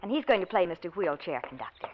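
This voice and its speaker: An actress playing a brisk, cheery hospital nurse giving instructions in an old-time radio drama. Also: female voice, lowish voice, very animated, dull audio. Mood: cheerful, brisk. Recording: hissy vintage radio recording.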